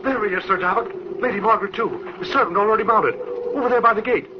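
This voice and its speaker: An actor playing an urgent, agitated servant of a Scottish lord in an old radio horror drama. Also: male voice, sits high, fast talker, close to an everyday voice. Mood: alarmed, urgent. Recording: muffled old radio with clear hiss.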